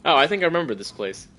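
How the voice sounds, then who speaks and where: A man's voice: fairly low pitch, fairly bright tone. A man giving casual live commentary as he plays a video game, musing aloud and correcting himself. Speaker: streamer. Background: faint game ambience.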